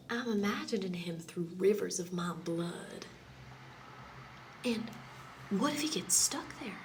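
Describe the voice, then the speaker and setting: A woman performing an intimate radio play monologue as a dreamy, obsessively lovestruck woman, breathy and drifting into whispers. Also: fairly high pitch, noisy background. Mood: anxious, grieving. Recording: music under the voice. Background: music.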